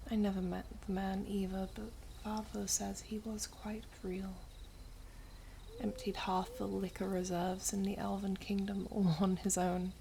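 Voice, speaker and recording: A woman playing a gentle, wistful elven princess in an ASMR roleplay, speaking in a breathy, hushed voice with formal storybook phrasing. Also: mid-range voice, flat delivery, a little slow, slight background noise. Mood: soft, tender. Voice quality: breathy, whispery. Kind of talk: ASMR roleplay, soft whispery close speech.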